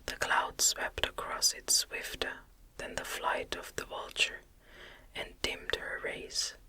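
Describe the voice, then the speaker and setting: A narrator reading a gothic novel in a soft, breathy ASMR whisper, gentle, soothing and deliberate. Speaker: audiobook narrator.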